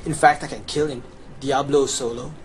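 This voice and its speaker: A man's voice: medium pitch, fairly even intonation, moderate pace. A young adult man giving a scripted promotional voice-over as a gamer presenting his guide. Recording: clearly noisy home recording.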